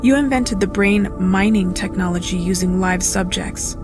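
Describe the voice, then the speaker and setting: A woman playing an earnest, formal television news reporter in a video game, presenting in a measured, disapproving way. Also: mid-range voice, natural voice. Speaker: news host. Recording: music bed under voice. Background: loud music.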